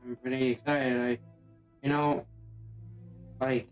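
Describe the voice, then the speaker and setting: A man's voice: medium pitch, little pitch movement, takes it slowly, faint music behind. A man chatting casually during a game stream in a hesitant, rambling way.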